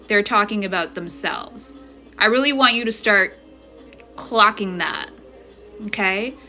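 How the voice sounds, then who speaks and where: A woman vlogger speaks to camera with a bright, forceful voice, pressing her points with indignant insistence. She keeps checking in with the listener as she goes. Faint music sits low behind her. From Ethiopia. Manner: emphatic, indignant and insistent, often checking in with the viewer. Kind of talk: direct-to-camera monologue.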